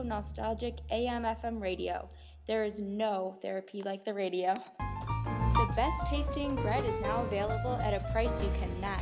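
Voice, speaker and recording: A woman's voice: fairly high pitch, natural voice. A woman playing a 1930s radio newswoman, reading scripted reports in a period broadcast. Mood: promotional.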